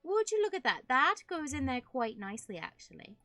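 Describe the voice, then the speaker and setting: A high-voiced woman streams a building game in a put-on British accent. She talks casually and playfully, with affected genteel phrasing, addressing herself and her viewers. The sound is close and dry. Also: sing-song, brisk, bright, forceful tone, no room sound, faint music behind. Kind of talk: casual live game commentary, decorating rooms.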